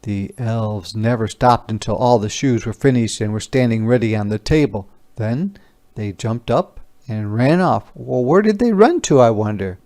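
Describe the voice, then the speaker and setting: A man reading a picture book aloud as a teacher would to young children, expressive, explaining and questioning. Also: medium pitch, moderate pace.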